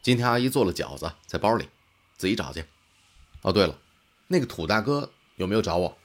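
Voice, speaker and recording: An actor playing a casual, curious older brother in a science fiction radio drama, speaking colloquially and offhand. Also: male voice, low voice, very animated, brisk. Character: casual, curious man, big brother in sci-fi future.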